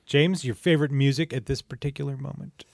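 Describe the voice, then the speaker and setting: A man's voice: medium pitch, steady tone, brisk, vocal fry, dry recording, studio quality. A man hosting a podcast quiz in casual, playful banter with a jokey manner. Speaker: podcast host running a quiz. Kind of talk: casual comedic banter with guests.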